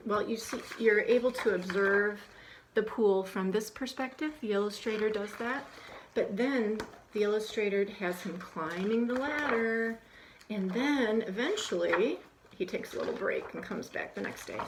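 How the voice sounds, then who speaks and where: Woman, teacher trainer, conversational, plenty of ums, mid-pitched voice, faint background hiss. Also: a little slow. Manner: conversational, many ums, acts out questions to children in a hushed, animated voice. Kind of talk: instructional talk on reading aloud.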